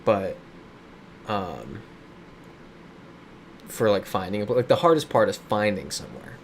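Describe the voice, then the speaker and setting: A man's voice: fairly low pitch, sing-song, measured pace, slight background noise. Chatty man streaming from home. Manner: chatty, reads viewer comments aloud and riffs on them. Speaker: streamer reading chat. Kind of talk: casual live-stream chat while playing a geography game. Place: his home in a big city.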